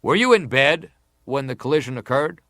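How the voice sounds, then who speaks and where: An actor playing an American senator who questions a witness formally, measured and insistent, in a dramatized hearing. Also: male voice, medium pitch, animated, sharp tone, no room sound, studio quality.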